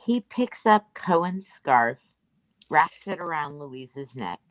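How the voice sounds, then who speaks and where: A woman's voice: deep voice, sing-song, takes it slowly, dry recording. A woman reading stage directions as scripted narration at a play table read.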